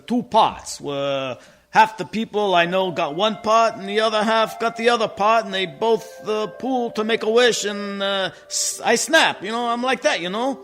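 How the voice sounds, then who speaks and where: A man's voice: high voice, fairly bright tone. A man playing a neurotic, exasperated middle-aged mob boss in a comic radio play, whiny and rambling with tough-guy slang.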